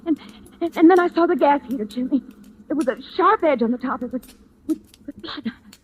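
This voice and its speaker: An actress playing an anxious young woman in a 1940s radio suspense drama, halting and urgent, on a noisy old recording. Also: high-pitched, lightly acted. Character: anxious young woman pleading her innocence.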